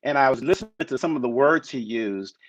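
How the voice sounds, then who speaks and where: A man's voice: mid-range voice, animated, a little fast, dry recording. A man on a talk panel, speaking casually and rambling with many restarts. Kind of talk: casual chat. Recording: muffled lo-fi sound.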